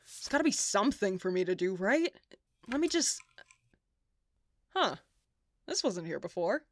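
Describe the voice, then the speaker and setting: A woman's voice: medium pitch, big pitch swings, measured pace. A woman playing a bored, curious, flippant sidekick in an audio drama, informal and muttering to herself.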